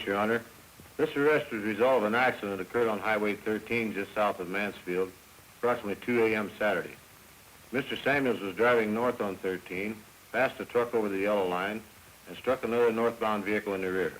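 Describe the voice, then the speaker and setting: A man's voice: lowish voice, brisk, fairly bright tone, poor audio. A man reporting as a police officer in formal, matter-of-fact courtroom testimony. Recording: vintage film sound, faint hiss.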